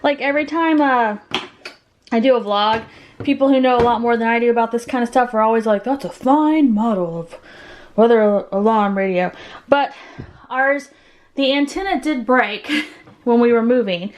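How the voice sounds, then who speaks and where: Higher-pitched woman chatting in a noisy vlog. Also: normal speed. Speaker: family vlogger and mother. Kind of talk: casual vlog chat.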